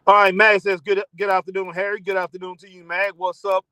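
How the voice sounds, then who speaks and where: Live-stream host, male, rambling and conversational, talking into a phone in his car, sharp tone. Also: sits high, little pitch movement, a little fast, no echo. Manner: rambling, chatty, reads viewer comments aloud. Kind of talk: casual live-stream chat.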